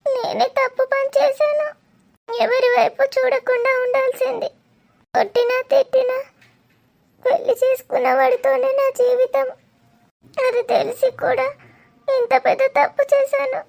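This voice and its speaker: A woman's voice: extremely high pitch, a little slow, gravelly, pauses often, background noise, full character voice. A woman playing a heartbroken young married woman who pleads in a tearful, crying monologue. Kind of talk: melodramatic audio drama.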